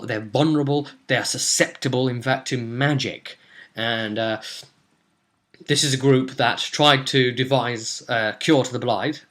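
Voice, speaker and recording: Halting young British man chatting about gaming. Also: mid-range voice, sharp tone. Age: young adult. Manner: halting, thinking aloud. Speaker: game master, host of a role-play vidcast. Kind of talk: casual rambling commentary on tabletop gaming. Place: indoors, small room at home.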